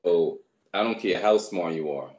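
Very deep-voiced man telling his story. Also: moderate pace, dull audio. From Barbados, raised in New York. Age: 30s. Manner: relaxed, rambling, many fillers. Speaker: guest, personal trainer. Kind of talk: casual storytelling interview. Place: small room indoors. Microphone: video call webcam.

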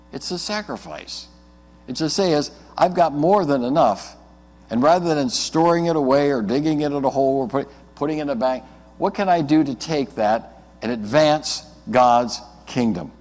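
American pastor preaching in a reverberant church. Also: male voice, fairly high pitch, expressive, moderate pace, darkish tone. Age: middle-aged or older. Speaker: Lutheran pastor. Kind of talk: sermon. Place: indoors at the front of a church, before the altar.

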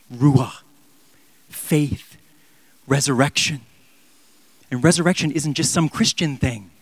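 30s guy preaching, kinda high voice, dry close mic sound, gets loud then drops to a whisper. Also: no room sound. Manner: impassioned, swinging from loud emphatic peaks to near-whispers. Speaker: pastor. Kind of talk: sermon. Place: large church sanctuary. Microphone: handheld mic.

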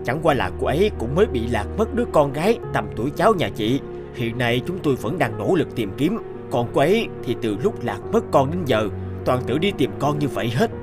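An earnest, considerate man voiced by an actor in a cartoon drama. His pitch is a little high and moves in a lively way, with music underneath. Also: brisk, natural voice.

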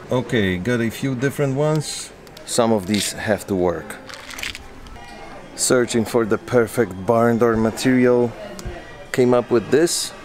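A man in his forties chatting casually to camera for a daily vlog, explaining his project as he goes, often restarting sentences. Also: fairly low pitch. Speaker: vlogger. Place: indoors, garage workshop and stores.

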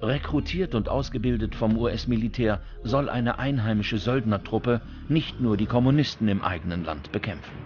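A man's voice: lowish voice, moderate pace, few pauses. A man reading scripted documentary narration as the narrator. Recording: dull recording with music bed. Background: background music.

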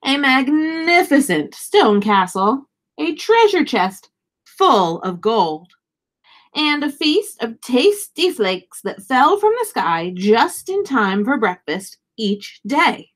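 Woman, high lively voice, expressive picture-book narration, dramatic emphasis, unhurried. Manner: animated, dramatic emphasis for young listeners. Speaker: teacher reading a picture book aloud. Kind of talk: expressive children's storybook narration. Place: small indoor room, seated.

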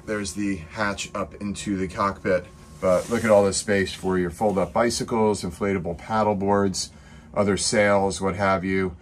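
Low-voiced man giving a boat tour. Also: unhurried, a little hiss or hum. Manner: enthusiastic salesman, relaxed, with frequent fillers. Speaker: yacht broker. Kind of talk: casual walkthrough tour. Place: on a sailboat at a dockside boat show, outdoors and in the cabin. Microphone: handheld phone camera.

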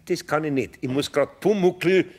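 An actor playing a good-natured older craftsman in a radio play, a homely man with a husky voice and Bavarian accent. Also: on the high side, normal speed, darkish tone, natural voice. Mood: calm, flustered. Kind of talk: radio play dialogue acting.

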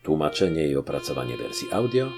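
An older man narrating a children's audiobook in an expressive, dramatic, grandfatherly storytelling manner. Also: extremely low pitch, fairly quick. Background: music.

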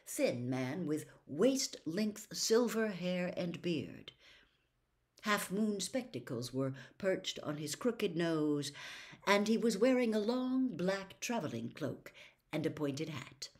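Older woman reading expressively, very varied pitch. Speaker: storyteller. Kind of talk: expressive audiobook-style reading of a novel. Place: small furnished room at home.

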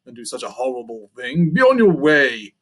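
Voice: mid-range voice, sing-song, moderate pace, a bit bright. A storybook reader voicing a proud, disdainful lion with emphatic, dismissive threats.

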